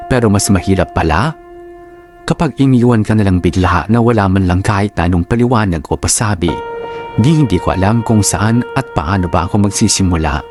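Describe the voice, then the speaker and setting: Guy doing sad filipino radio drama narrator, lowish voice, telling a heartbreak story, music clearly behind him. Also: close to an everyday voice. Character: sorrowful narrator reading a heartbroken letter sender's story.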